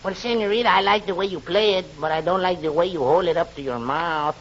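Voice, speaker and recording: An actor playing an excitable, boastful comic Mexican man with a Mexican accent in 1940s radio comedy. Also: sits high, quick pace. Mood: playful teasing. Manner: exaggerated comic delivery. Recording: noisy, dull old radio recording.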